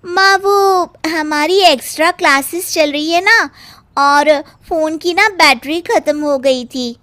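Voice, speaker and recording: Woman acting a snappy, high-pitched college girl. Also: slow pace, sharp tone, no room sound, exaggerated voice. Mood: evasive. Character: defiant, snappy college-age daughter.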